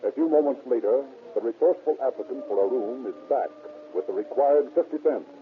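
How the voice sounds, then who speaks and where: A man narrating a scripted radio drama in a formal storytelling manner. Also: medium pitch. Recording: old tinny band-limited radio, clear hiss.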